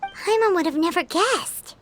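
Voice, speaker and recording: Tiny childlike game fairy: extremely high squeaky voice, swooping and excitable, music behind. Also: female voice, measured pace, full character voice. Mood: curious. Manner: refers to herself in third person, indignant, emphatic. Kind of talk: video game voice acting.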